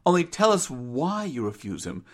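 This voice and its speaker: Man acting concerned mother, higher voice, tender, coaxing, old-fashioned phrasing, sentimental storybook. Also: big pitch swings, normal speed. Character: gentle, coaxing, concerned middle-aged mother. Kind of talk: dramatized reading of an old sentimental tale.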